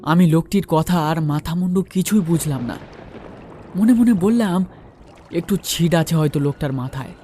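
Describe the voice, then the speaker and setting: A man's voice: a little high, normal speed, mellow, a little hiss or hum. A man narrating a first-person horror story and acting out its dialogue.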